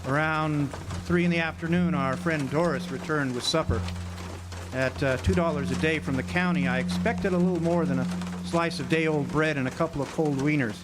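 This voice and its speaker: A man's voice: fairly high pitch, moderate pace, close to an everyday voice. A man playing a wry noir drifter, noisy recording. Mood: wry, reflective. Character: world-weary drifter narrating his hardboiled small-town romance. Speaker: actor in a radio drama. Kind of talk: noir-style radio drama narration and dialogue. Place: outdoor amphitheater stage. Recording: noisy outdoor live recording with birdsong.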